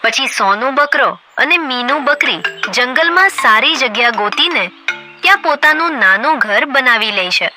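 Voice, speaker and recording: A woman with a high voice narrates a children's cartoon story in a clear, scripted way. Steady music plays underneath her narration throughout. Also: normal speed. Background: background music.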